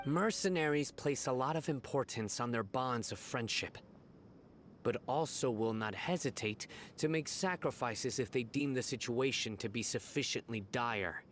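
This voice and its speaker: An actor playing a stern, cautious former law enforcer in a video game drama, speaking in a grave, formal, measured way. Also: male voice, medium pitch, fairly bright tone, slight background noise, natural voice. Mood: stern, firm. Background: music.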